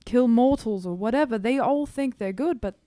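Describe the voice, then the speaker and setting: A woman's voice: a little high, normal speed, mellow, no echo, lightly acted. Woman playing a wry, reluctant young demigod student, dry sound. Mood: weary. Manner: hesitant, casual, sarcastic. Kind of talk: improvised in-character tabletop roleplay.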